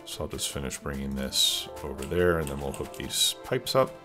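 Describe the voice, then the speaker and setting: A man's voice: very deep voice, fairly even intonation, normal speed. A man with a stuffed-up cold, casually commenting on his gameplay as a let's play host, thinking aloud with many fillers. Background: background music and keyboard typing.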